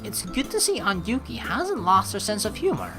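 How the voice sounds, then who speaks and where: A chatty, sweary woman doing casual, expressive let's play commentary and reading narration aloud. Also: medium pitch, moderate pace, over music. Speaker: let's player.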